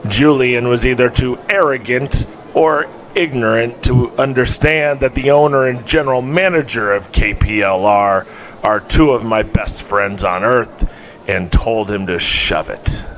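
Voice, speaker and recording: An actor playing an older, proud wrestling promoter who makes measured, formal speeches in an audio drama. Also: male voice, medium pitch, fairly bright tone, natural voice. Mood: proud, assured.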